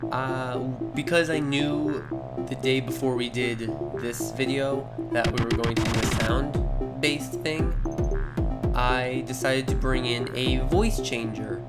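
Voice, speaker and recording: A teenage male talking casually and rambling, often correcting himself, as he narrates a video retrospective. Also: medium pitch. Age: teens. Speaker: video creator narrating their early animations. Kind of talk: casual voice-over commentary. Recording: music behind the voice. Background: background music.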